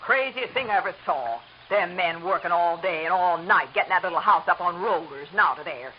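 A woman's voice: lowish voice, brisk, husky. An actress playing a nosy, prickly older neighbor woman in a 1940s radio drama. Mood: gossipy. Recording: dull lo-fi old radio recording.

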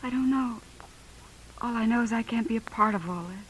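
Actress as high-voiced, earnest American wife, radio drama. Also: female voice, fairly quick, a little hiss or hum, lightly acted, low-quality recording. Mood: anguished. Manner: earnest, emotional, breathy at times. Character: principled, anguished wife and young mother. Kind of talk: 1950s radio drama acting.

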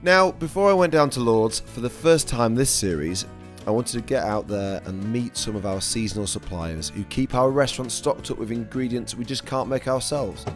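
A man with a croaky, worn voice, a chef hosting his own podcast in upbeat scripted narration. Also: medium pitch, moderate pace, soft background music.

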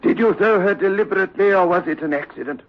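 Guy doing strict psychiatrist in an old radio drama, pushy and deliberate, really dull lo-fi sound. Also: high-pitched, natural voice. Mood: confronting. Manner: stern, deliberate, commanding. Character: authoritative, insistent sanatorium doctor, firm and probing. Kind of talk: 1960s radio drama acting. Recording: muffled, lo-fi old radio recording.

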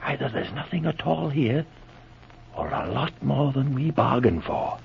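An actor playing a wary, loyal marshal, hushed and plain-spoken, in a 1940s radio adventure serial. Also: male voice, medium pitch, sing-song, unhurried, creaky. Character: wary, loyal marshal, the inspector's male sidekick. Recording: muffled, noisy old radio recording.

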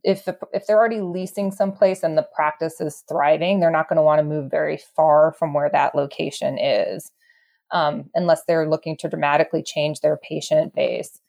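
Female interview guest, low pitch, property professional, thoughtful explanatory delivery with a halting flow. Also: fairly even intonation, vocal fry, darkish tone. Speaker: real estate expert guest.

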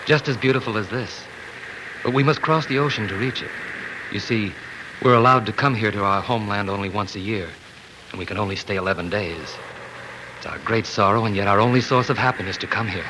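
An actor playing an earnest, strong and protective enchanted prince in a solemn 1940s radio style. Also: male voice, lowish voice, brisk, natural voice. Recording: noisy old 1940s radio recording.

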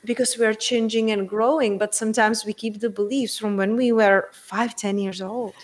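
A woman's voice: medium pitch, normal speed. Earnest Czech-accented woman. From Czech Republic. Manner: earnest, animated, lots of rhetorical questions. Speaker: guest. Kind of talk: casual interview chat.

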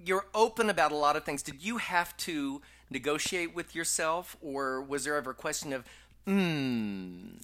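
Interviewer, effusive and gushing, bright forceful tone, mid pitch. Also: expressive, moderate pace. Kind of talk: casual interview chat.

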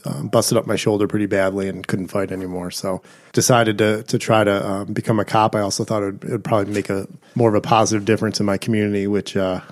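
A man guest-playing a tabletop game, telling a backstory in a halting way with many fillers. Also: on the low side, level tone. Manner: halting, hesitant, lots of fillers. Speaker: guest player. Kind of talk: casual in-character backstory.

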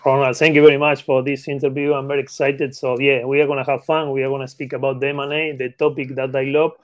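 Argentine man, eager, halting English. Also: medium pitch, flat delivery, no echo. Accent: Argentine Spanish. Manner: eager, repeats phrases, halting non-native English. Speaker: guest, M&A expert. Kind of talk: casual interview talk.

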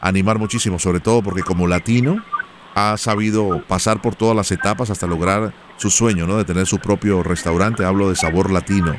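A man hosts a radio business show with a fairly low voice. He greets and praises his guests in an affectionate, encouraging way. He reads out the show's contact details between interviews. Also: steady tone, a little fast, background noise.

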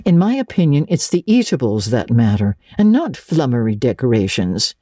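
A woman's voice: very deep voice, big pitch swings, moderate pace, warm tone, slightly rough, no echo, studio quality, full character voice. A woman reading aloud and voicing a stern, curt older spinster woman. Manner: curt, sniffing, grim.

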